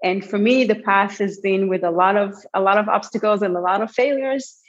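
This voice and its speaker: A woman's voice: medium pitch, a little fast. A woman with an Israeli accent, answering interview questions casually, with fillers and restarts. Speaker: guest entrepreneur. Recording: dull lo-fi audio.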